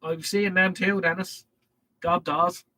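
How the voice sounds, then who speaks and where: A man's voice: high voice, flat delivery, slow pace, sharp tone, no echo. A man with an Irish accent and a head cold, hosting a casual live stream and reading out viewer comments in a rambling way. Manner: rambling, reads chat messages aloud.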